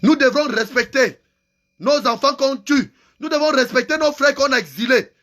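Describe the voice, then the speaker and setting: A man's voice: very high voice, moderate pace, fairly bright tone. A man giving an angry, impassioned political rant, hammering home repeated phrases. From Cameroon. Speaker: activist vlogger.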